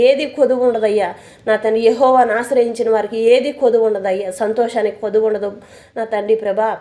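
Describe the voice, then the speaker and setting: Woman, fervent intercessory prayer, rapid delivery, mellow tone, pleading, repetitive invocations. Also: on the high side. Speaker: prayer leader.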